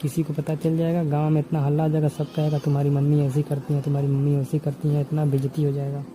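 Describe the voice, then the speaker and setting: A man's voice: a little high, monotone, quick pace, warm tone. A man, talking informally on the phone in an insistent, repetitive way. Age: 20s. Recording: noisy phone call recording.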